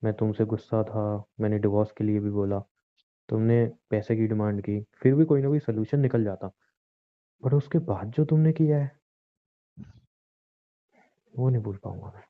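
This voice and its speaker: A man's voice: lowish voice, moderate pace, long pauses, lightly acted. A man playing a bitter, controlling young husband in a staged call drama, menacing and commanding. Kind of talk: scripted domestic drama. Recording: tinny phone-line recording.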